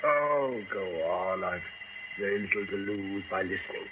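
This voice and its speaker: An actor playing a dying, melancholy British gentleman in a 1970s radio drama, measured, dry and sceptical. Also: lowish voice, lively intonation, lightly acted. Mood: doubtful. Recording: muffled, noisy old radio broadcast.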